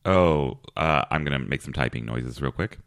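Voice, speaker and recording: A man hosting a comedy podcast, talking in a dry, halting way full of fillers. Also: rumbling low, a bit bright, no echo. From Kentucky. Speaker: podcast host. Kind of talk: deadpan comedic podcast chat.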